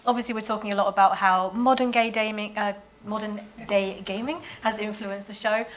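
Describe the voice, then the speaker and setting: A woman's voice: mid-range voice, steady tone, fairly quick. A woman moderating a panel, putting questions to a guest in a conversational interview style. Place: live event panel.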